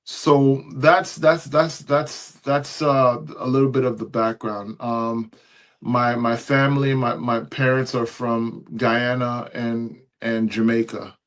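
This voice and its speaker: A middle-aged man from New York City talks at an ordinary male pitch. He tells his background in a relaxed, meandering way, circling back with "you know what I mean". This is a casual sit-down interview. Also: slow. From born in Canada, raised in New York City. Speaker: interview guest, DJ.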